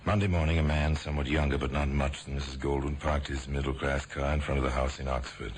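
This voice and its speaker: A man narrating a radio drama in a measured, ominous way. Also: extremely low pitch, level tone. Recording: hissy old radio broadcast.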